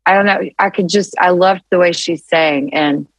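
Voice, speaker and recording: A woman songwriter with a deeper, low-register voice, chatting casually as an interview guest and telling animated stories with many restarts. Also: normal speed, dry recording. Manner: animated storytelling with frequent restarts and laughs.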